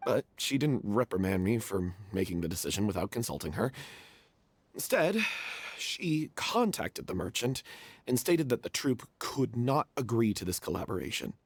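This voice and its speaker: A remorseful young stage actor in a game, husky and dry-sounding with a voice that swings widely in pitch. He stammers through a confession and ends in self-loathing despair. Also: very animated, moderate pace, dry recording, full character voice. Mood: guilty, hesitant. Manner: hesitant confession breaking into anguish. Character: guilt-ridden young male stage actor. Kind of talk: game voice acting.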